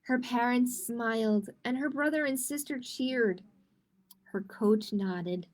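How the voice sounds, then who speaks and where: A woman's voice: on the high side, slow pace. A woman hosting a library storytime, reading picture books aloud to children and explaining simply and encouragingly.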